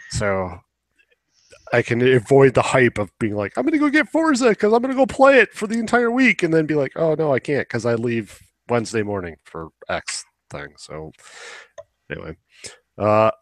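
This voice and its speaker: A man's voice: medium pitch, very animated, moderate pace, no room sound, studio quality. A young man chatting casually as a podcast co-host, opinionated and rambling, swearing now and then. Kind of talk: casual chat about video games.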